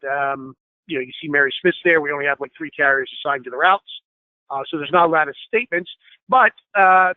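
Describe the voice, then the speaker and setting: A man leading a software training session over a screen share, explaining step by step with many fillers, slightly hoarse and clearing his throat. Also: on the high side, no room sound. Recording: tinny phone-like line.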